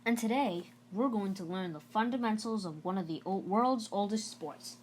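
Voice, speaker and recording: A woman's voice: medium pitch, moderate pace, a little hiss or hum, natural voice. A woman voicing a brash, stocky male middle school gym coach from a storybook, addressing students in emphatic, instructing phrases. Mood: brisk, instructing.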